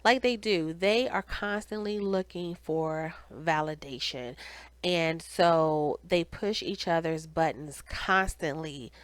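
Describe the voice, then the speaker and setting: A woman's voice: low voice, slow pace, dry recording. A woman with a nasal, congested voice giving a chatty, casual TV recap straight to her viewers. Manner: chatty, conversational, addressing viewers directly. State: congested with cold or allergies. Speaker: video reviewer and channel host.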